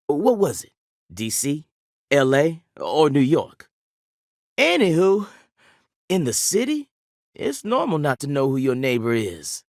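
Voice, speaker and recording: A man's voice: a little high, no echo, studio quality. A man playing a folksy, jovial small-town sheriff in a video game, with a rambling Southern US drawl.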